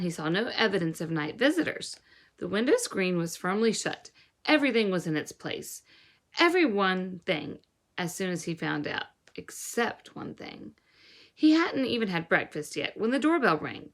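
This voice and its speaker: A woman reading a children's novel aloud as a teacher would, with an expressive, instructive delivery. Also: medium pitch. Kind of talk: storybook read-aloud narration.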